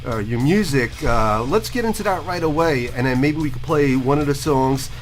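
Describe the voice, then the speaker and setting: A man's voice: mid-range voice, lively intonation, normal speed, soft background music. A man hosting a casual radio show, friendly and rambling, often restarting his questions.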